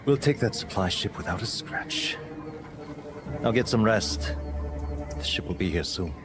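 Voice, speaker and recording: Brash, lively low-voiced raider leader. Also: male voice. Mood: confident. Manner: sardonic, brisk, confident. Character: brash, wry adult male raider leader. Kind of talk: video game cutscene acting. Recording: music behind the voice. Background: music.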